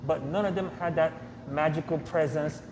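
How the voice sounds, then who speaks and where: A man in his thirties who grew up in Nigeria, answering interview questions in a soft, hesitant way with many fillers. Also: on the high side, steady tone, moderate pace. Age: 30s. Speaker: podcast guest. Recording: echoey room with long reverb, clear ambient noise.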